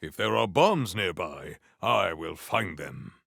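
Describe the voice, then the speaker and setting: An actor playing a proud, battle-hungry warrior in a video game, with formal, stilted and grandiose diction. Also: male voice, low voice, expressive, takes it slowly, creaky, fairly bright tone, dry recording, studio quality, full character voice. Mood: haughty, resolute. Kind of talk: scripted video game character lines.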